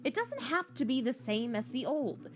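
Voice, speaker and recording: A woman's voice: high voice, big pitch swings, normal speed, soft background music. A woman voicing a flirtatious, wisecracking cat-like woman in an amateur comic drama, with a teasing, theatrical manner. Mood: teasing, insistent.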